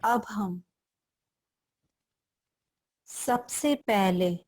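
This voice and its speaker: An Indian-accented woman teaches a guided meditation at an extremely slow pace. Her voice sits at a medium pitch for a woman. She delivers short, deliberate instructions and leaves long pauses between phrases. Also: darkish tone.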